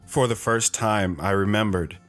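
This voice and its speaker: A man's voice: fairly low pitch, steady tone, moderate pace, a bit bright, close to an everyday voice. A man acting as a wistful wandering storyteller, guitar behind. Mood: wistful, reflective. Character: lone wandering man wistfully recounting his romance. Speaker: storytelling guest on a radio show. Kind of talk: dramatic first-person narration. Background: plucked guitar music.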